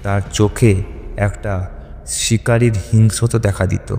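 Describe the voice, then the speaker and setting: A man's voice: lowish voice, little pitch movement, measured pace, warm tone, slight background noise. A man narrates a scripted horror story. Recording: long reverb with music underneath. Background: music.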